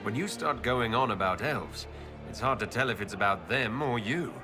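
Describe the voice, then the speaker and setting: An actor playing a composed elf Inquisitor in a fantasy game, speaking in a measured way. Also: male voice, medium pitch, lively intonation, lightly acted. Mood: calm, wry. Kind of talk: video game dialogue acting. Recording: long reverb, loud music behind.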